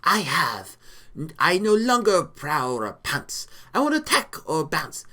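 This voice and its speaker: A woman's voice: low-pitched, very animated, sharp tone, heavily acted. A woman voicing a big ugly lizard man, a pompous storybook villain who talks in grandiose, theatrical, pleading exclamations. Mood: earnest, pompous. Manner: grandiose, melodramatic, drawn-out exclamations.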